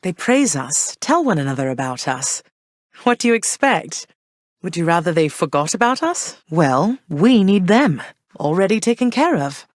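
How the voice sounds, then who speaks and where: A gracious underworld queen and loving mother, speaking in a lowish, calm voice with warm reassurance. Her lines are polished game dialogue recorded dry and close, with no room sound. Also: normal speed, no room sound. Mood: persuasive. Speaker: game character.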